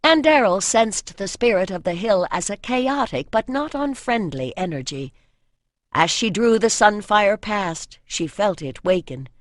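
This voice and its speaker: A woman reading scripted fantasy fiction as an audiobook narrator, with measured literary storytelling. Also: medium pitch, animated, dry recording, studio quality.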